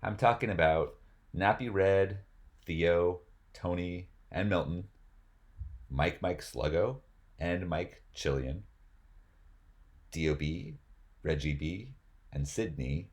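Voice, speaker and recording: A man reading rap lyrics aloud as spoken word, as host of the reading. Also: low-pitched.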